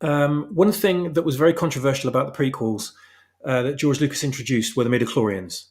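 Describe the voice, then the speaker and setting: A man speaking as an author being interviewed, explaining casually in a halting but emphatic way, repeating phrases for stress. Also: medium pitch, darkish tone. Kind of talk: casual explanatory chat about own story.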